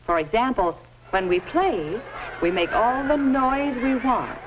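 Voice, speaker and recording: A woman's voice: a little high, animated, noisy background. A woman playing a patient 1950s schoolteacher in an educational film, phrasing things carefully and instructively, on a muffled old soundtrack. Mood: patient explaining. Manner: measured, didactic, carefully phrased. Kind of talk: scripted educational film acting.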